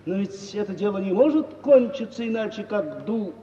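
In a hollow, reverberant old radio play, a silly, sentimental gentleman suitor. His voice is high and mellow, and he draws out his effusive, pompous phrases. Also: animated, far from the mic. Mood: stiff, formal. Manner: halting, effusive, swooping delivery with drawn-out words. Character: foolish, sentimental suitor, smitten yet pompously honour-bound. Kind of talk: 1950s Russian radio theatre acting. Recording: old roomy stage-like radio recording, dull, hissy.

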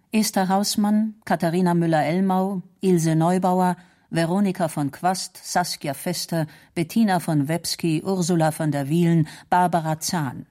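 A woman announcer reading radio drama credits in a measured, formal manner. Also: on the low side, warm tone.

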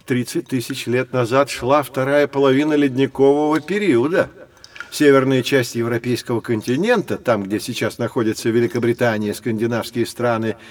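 An actor playing a learned teacher who explains history to children in a storytelling manner, in an educational audio drama. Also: male voice, mid-range voice, natural voice.

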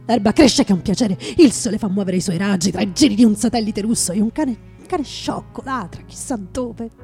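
A woman playing a hard-drinking male poet, bitter and sardonic, in a halting theatrical radio monologue that drops into whispers. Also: mid-range voice, warm tone, natural voice. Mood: bitter, wry. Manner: halting, dramatic, repeats words, drops into whispers. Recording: music behind the voice.

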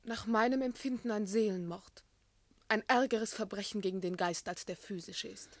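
A woman's voice: medium pitch, normal speed, dry recording, close to an everyday voice. An actress playing an earnest, devoted and defiant young woman in love, speaking intense literary lines in a classic radio play. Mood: defiant, wounded.

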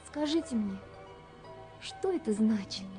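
A woman's voice: on the high side, animated, measured pace. An actress playing a dreamy, wondering young woman reading her letters in a lyrical, wistful radio play. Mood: tender wonder. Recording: 1970s radio production with music. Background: music.

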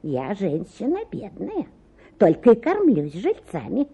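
An actress playing an older, stubborn and plaintive petitioner in a theatrical radio play, whining and insistent. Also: female voice, medium pitch, wildly swooping pitch, unhurried, mellow, husky, lo-fi sound, full character voice. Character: pushy, plaintive petitioner, older mother-in-law, obtuse, stubborn.

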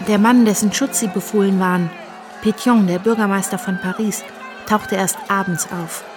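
An actress playing a reflective woman recalling revolutionary times in a radio play, in measured, sober first-person narration. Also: medium pitch, steady tone, over music, crowd noise, natural voice. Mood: sober, wry.

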